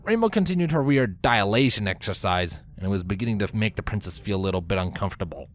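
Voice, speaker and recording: Man narrating a story, lively intonation. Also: fairly low pitch, fast, faint music behind.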